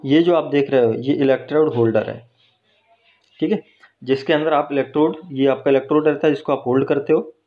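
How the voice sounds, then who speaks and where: A man's voice: medium pitch, fairly even intonation, a little fast. Workshop instructor, man, patient step-by-step explainer, dull phone audio. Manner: explains step by step, checks in with "ठीक है" and "है ना". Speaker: teacher. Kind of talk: hands-on technical explanation. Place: welding workshop. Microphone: phone held while filming.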